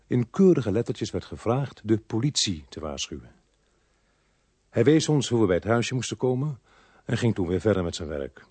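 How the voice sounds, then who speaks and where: An actor playing a brisk, world-weary police inspector who narrates his case in a radio crime drama. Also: on the low side, big pitch swings, darkish tone, husky, no room sound. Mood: sober narrating.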